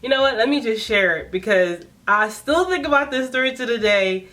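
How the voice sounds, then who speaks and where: A woman in her late twenties telling a personal story in a casual vlog, chatty and animated with frequent laughs. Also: a little high, a little fast. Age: 20s. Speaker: vlogger.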